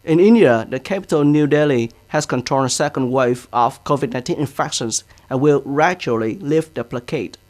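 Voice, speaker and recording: A man's voice: mid-range voice, moderate pace, few pauses, a little mellow, no echo. A man with a Vietnamese accent, a native Vietnamese speaker, presenting scripted news in English.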